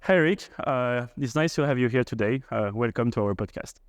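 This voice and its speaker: A man's voice: medium pitch, normal speed, clear, resonant tone, no room sound, soft background music. A man hosting a podcast, introducing and questioning a guest with frequent fillers. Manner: frequent "uh" and "you know" fillers. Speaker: podcast host. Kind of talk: podcast interview.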